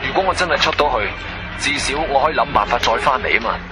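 An actor voices a determined, daring man, the hero of a Cantonese radio play from Guangzhou. His delivery is assertive and highly animated in pitch. Background music runs clearly under the dialogue. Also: quick pace, natural voice. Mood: determined, reassuring. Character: bold, determined adventurer hero, adult man.

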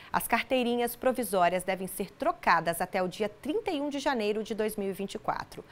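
A woman, presenting scripted news in a formal, clearly articulated way from a TV studio on a lapel mic. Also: mid-range voice.

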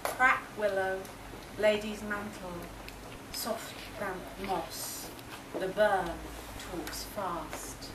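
A middle-aged woman gives a live poetry reading at a slow pace, phrase by phrase. Her voice sits at some distance from the microphone. The recording is heavily noisy. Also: mid-range voice, steady tone, echoey room. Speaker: poetry reader. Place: marquee with a small seated audience.

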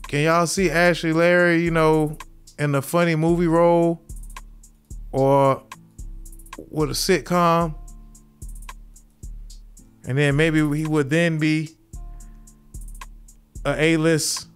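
A man's voice: fairly high pitch, level tone, slow, long pauses, clean tone, soft background music. A man in his thirties hosting a podcast from a small home studio, laid-back and chuckling at his own jokes. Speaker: podcast host. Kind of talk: casual commentary. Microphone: studio mic with headphones.

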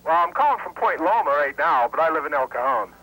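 A man's voice: on the high side, big pitch swings, quick pace, sharp tone, husky, poor audio. An American man calling a radio contest, chatting casually over a speakerphone. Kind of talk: casual phone chat. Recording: speakerphone call, faint noise.

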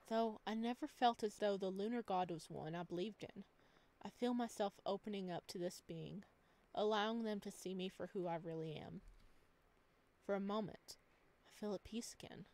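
A woman reading a visual novel aloud, switching between calm narration and animated, giggly asides to her viewers. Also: mid-range voice. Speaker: let's play video creator.

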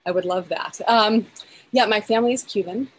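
A woman's voice: mid-range voice, moderate pace, a little hiss or hum, low-quality recording. A woman in her forties, a film editor and guest, talking eagerly over a video call from outdoors in her backyard, with many restarts and fillers. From United States.

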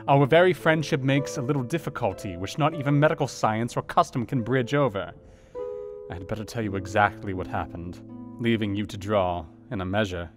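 An actor playing an earnest Victorian doctor reading his letter in a radio play, in a measured, formal manner. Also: on the low side, natural voice. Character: earnest male Victorian doctor writing to a friend. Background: music with plucked guitar.

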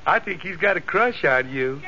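An actor playing a folksy, patient riding instructor with a Southern US drawl, drily exasperated, in a 1940s radio sitcom. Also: male voice, a little high, expressive, normal speed, natural voice. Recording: muffled, hissy old radio recording.

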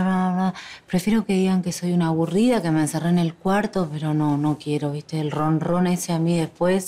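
A woman's voice: low-pitched, warm tone. An actress with a Rioplatense Spanish accent plays a woman refusing insistently in a hotel bedroom. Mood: stubborn. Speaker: actress in a TV comedy series. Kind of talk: TV comedy drama acting.

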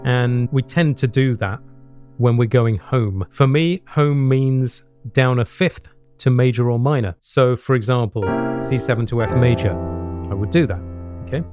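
A middle-aged man talks through a piano lesson at an ordinary pitch. He explains step by step and often adds a short question to check that it is clear. Piano playing is heard clearly along with his close-miked voice. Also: measured pace, darkish tone. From England. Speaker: jazz piano teacher. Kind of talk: instructional lesson talk with piano demonstrations. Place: small home study with bookshelves. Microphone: large studio mic on boom arm, close.

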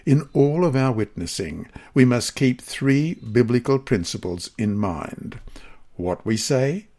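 A man with a husky voice reads a scripted devotional lesson aloud in a measured, careful manner. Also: medium pitch, lively intonation, warm tone. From Australia. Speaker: lesson reader.

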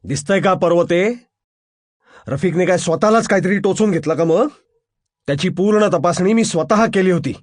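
A man's voice: medium pitch, quick pace, darkish tone, breathy edge, dry recording, clean studio sound, voice pushed down, natural voice. A man voicing a furious, commanding police officer in a crime audio drama, menacing, with short repeated orders and questions. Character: furious, commanding male police officer interrogating his subordinates.

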